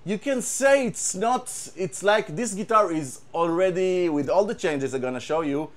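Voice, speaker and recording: A man with an Israeli accent, a guitar tech, talking casually and haltingly, repeating words and using many fillers. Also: high voice, lively intonation. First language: Hebrew. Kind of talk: casual show-and-tell chat.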